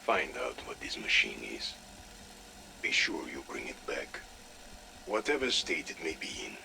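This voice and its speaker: An actor playing a commanding, cold Soviet colonel, giving deliberate orders in a game audio log. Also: male voice, rumbling low, big pitch swings, gravelly, full character voice. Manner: deliberate, authoritative, clipped orders.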